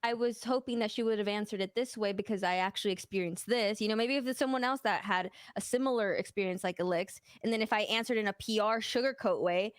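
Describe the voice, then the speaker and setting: A woman's voice: mid-range voice, fast, hardly any pauses, clear, resonant tone, no echo, clean studio sound. A woman from Mexico, a streamer guest in a casual interview, talking openly and rambling with many fillers.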